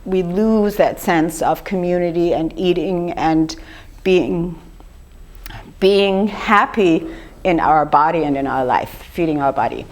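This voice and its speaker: An older woman with a slightly rough voice gives an informal lecture, talking conversationally and putting questions to her audience. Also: on the low side, takes it slowly. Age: 50s or older. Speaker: speaker giving a lecture on raw food.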